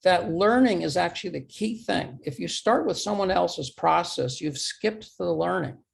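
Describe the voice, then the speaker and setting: A man giving a presentation, his voice going hoarse, halting and repeating words as he poses true-or-false questions. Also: sits high, expressive. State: losing his voice, clearing throat. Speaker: conference speaker. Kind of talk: talk presentation with audience questions.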